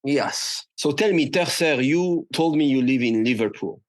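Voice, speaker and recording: A man's voice: medium pitch, measured pace, dry recording. A man from Morocco with a French accent, speaking non-native English as a guest in a conversational interview. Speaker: startup founder, podcast guest.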